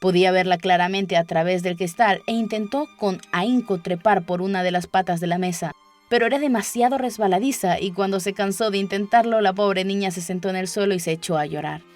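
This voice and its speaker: A woman's voice: medium pitch, a little fast, darkish tone. Woman narrating a story over music. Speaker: audiobook narrator. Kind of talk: scripted children's story narration. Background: background music.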